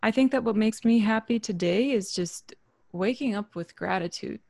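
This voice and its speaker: A woman musician being interviewed over a video call, talking reflectively with frequent fillers and soft laughs. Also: mid-range voice, normal speed, gravelly, no room sound. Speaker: musician guest. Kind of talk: relaxed interview chat.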